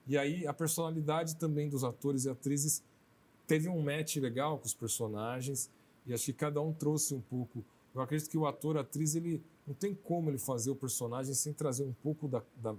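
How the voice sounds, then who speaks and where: A man's voice: medium pitch, darkish tone, slightly rough. Brazilian man talking conversationally in an interview. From Brazil, outside Maranhão. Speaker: film director being interviewed.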